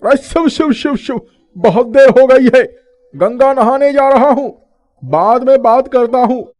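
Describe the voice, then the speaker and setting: A man acts out an agitated villager from a folk tale. His voice sits high for a man, and he exclaims his lines in storybook cartoon fashion. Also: unhurried, darkish tone. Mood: hurried. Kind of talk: animated moral story dubbing.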